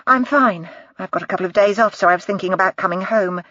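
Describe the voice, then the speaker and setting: A woman's voice: medium pitch, fast, fairly bright tone, no room sound, lightly acted. A woman voicing a young policewoman who answers briefly and politely, in a slightly dull, dry recording. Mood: reserved.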